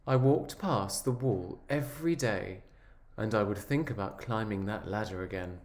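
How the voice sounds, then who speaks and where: A man voicing an earnest, wistful egg who tells his own story in a measured, reflective picture-book narration. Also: on the low side, a little mellow, lightly acted.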